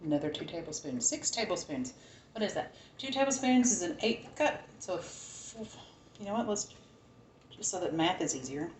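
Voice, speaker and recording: A woman's voice: fairly low pitch, very animated, moderate pace, a little mellow, echoey room, slight background noise. A woman with a Southern American accent, chatting casually and thinking aloud as she cooks in her home kitchen. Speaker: home cook. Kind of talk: casual cooking vlog chat.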